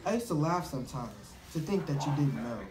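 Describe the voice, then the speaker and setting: An earnest, intense romantic rival, voiced by a puppet-show performer, insisting on his claim. The delivery swings widely in pitch. It is recorded in a roomy space with the mic far off. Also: animated, fairly quick, close to an everyday voice. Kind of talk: amateur puppet show reading.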